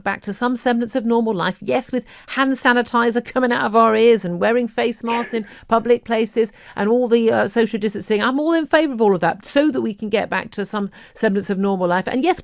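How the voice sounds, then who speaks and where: A woman radio talk show host, arguing her point forcefully in a studio interview. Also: fairly high pitch, no echo.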